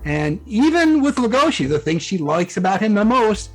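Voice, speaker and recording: A man with a somewhat high voice talks as an interview guest over background music. His delivery is casual and halting, often breaking off and rephrasing as he explains himself. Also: very animated. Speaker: interview guest, fan fiction author and musician. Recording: music playing behind the voice.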